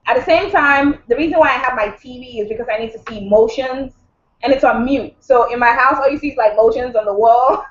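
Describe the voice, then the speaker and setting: A chatty woman from Nigeria, co-hosting a loose, joking conversation. Her voice sits a bit high and comes through a dull, lo-fi recording. The room around her is echoey. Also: fairly quick. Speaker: co-host. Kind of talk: casual chat.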